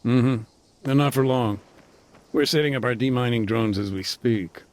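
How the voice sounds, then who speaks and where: A man's voice: mid-range voice, lightly acted. A man voicing a weary ex-soldier deminer. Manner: measured, matter-of-fact, grimly understated. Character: weary male ex-soldier turned deminer, frank. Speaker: former military combat engineer, now demining aid worker. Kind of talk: voiced game cutscene dialogue.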